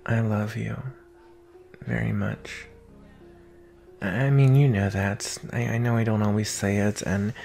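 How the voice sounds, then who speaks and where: A young man playing an anxious, protective vampire boyfriend in an intimate roleplay audio drama, speaking in an earnest, halting way with sighs. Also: lowish voice, vocal fry, a little mellow. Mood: apologetic, nervous. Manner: halting, sighing, earnest delivery.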